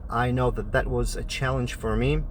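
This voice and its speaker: A man's voice: mid-range voice, steady tone, moderate pace, a little hiss or hum. A middle-aged man from Poland with a Polish accent, whose first language is Polish, explaining things in a direct vlog style with frequent "uh" fillers. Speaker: family travel vlogger.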